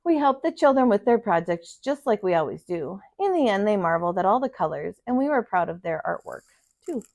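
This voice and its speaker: A woman reading a children's picture book aloud in an expressive kindergarten teacher's style. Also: medium pitch, brisk, no echo. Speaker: kindergarten teacher, storybook reader.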